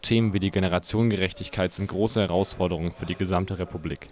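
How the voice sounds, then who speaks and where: Deep-voiced male news reporter. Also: fairly even intonation, fast talker, darkish tone. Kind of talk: scripted narration.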